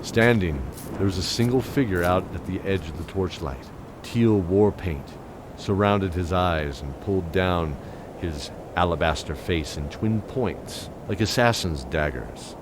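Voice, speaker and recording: Deep-voiced male fantasy narrator. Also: noisy background. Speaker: narrator and voice actor. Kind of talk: dramatic first-person fantasy narration. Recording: music under the voice. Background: music.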